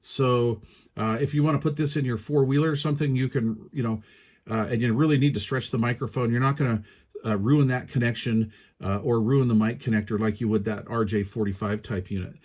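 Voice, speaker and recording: A man with a medium-pitched voice gives a casual product walkthrough. He explains things step by step in plain, informal language. His delivery is a little hesitant, as in an unscripted hands-on review. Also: fairly even intonation, brisk, darkish tone. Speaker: radio gear reviewer.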